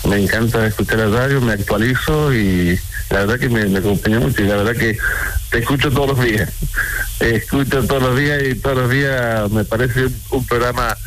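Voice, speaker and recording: A man's voice: medium pitch, fairly quick. A man in his sixties with an Argentine accent, chatting casually as a caller and repeating words as he explains. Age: 60s. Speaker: radio caller, builder. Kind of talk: casual phone-in chat. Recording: noisy radio phone-in call.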